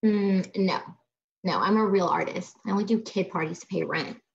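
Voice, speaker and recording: A woman's voice: lowish voice, normal speed, vocal fry. A young woman playing a guarded, unimpressed artist, recorded over muffled video call audio.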